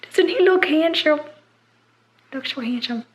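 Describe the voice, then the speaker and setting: Young woman vlogger, hoarse from a cold, chatty and upbeat, narrating her day. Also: sits high, lively intonation, unhurried, muffled recording, heavy background noise. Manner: chatty, upbeat, lists details fondly. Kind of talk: casual vlog chat and voice-over narration.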